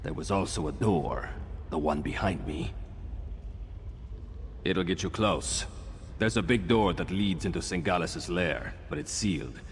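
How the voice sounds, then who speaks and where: A gruff, low-voiced male werewolf ally from a fantasy game. He is terse and matter-of-fact, wary but grateful. The voice carries long reverb over faint background noise. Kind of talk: video game quest dialogue.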